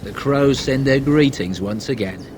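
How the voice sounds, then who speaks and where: An actor playing a smug, persuasive assassin in a fantasy video game, speaking theatrically. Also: male voice, mid-range voice, a little slow, noisy background, lightly acted. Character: smug male assassin offering an old friend mercy.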